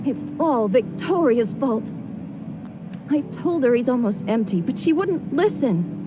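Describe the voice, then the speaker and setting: Scornful high-voiced vampire woman. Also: expressive, measured pace, background noise. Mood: accusing. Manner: scornful, snappish. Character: callous, blame-shifting vampire woman, scornful and self-serving. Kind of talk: video game voice acting.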